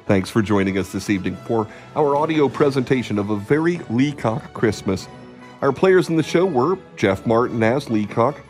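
A man's voice: medium pitch, expressive, moderate pace, darkish tone. A man giving a scripted sign-off as the announcer of a radio theatre show. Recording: music under the voice. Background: music.

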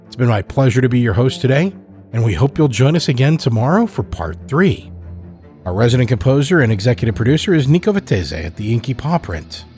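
A man's voice: mid-range voice, sing-song, fairly quick, darkish tone, soft background music. A man reading scripted narration as a storyteller and podcast host.

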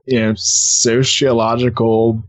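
A man chatting casually as a podcast guest, somewhat halting as he searches for his words. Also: mid-range voice, flat delivery, dry recording, rough recording. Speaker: podcast guest, storytelling podcast co-host. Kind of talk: casual interview chat.

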